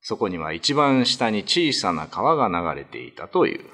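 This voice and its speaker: A man's voice: on the low side, sing-song, moderate pace, pushed and bright, slight background noise. A man narrating a ghost story in a dramatic, scripted radio drama reading.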